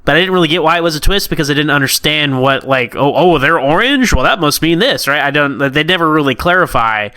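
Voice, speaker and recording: A man co-hosting a casual review chat, talking in a rambling, enthusiastic, halting way. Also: on the high side, animated, sharp tone, clean tone, no echo. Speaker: podcast co-host.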